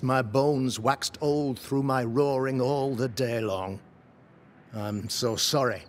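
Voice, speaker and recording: Man playing a slow, pompous preacher. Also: mid-range voice, slight background noise, lightly acted. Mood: solemn, condoling. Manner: pompous, florid, oratorical. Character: pompous, pious preacher, learned man of the cloth. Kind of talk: theatrical video game acting, sermonizing.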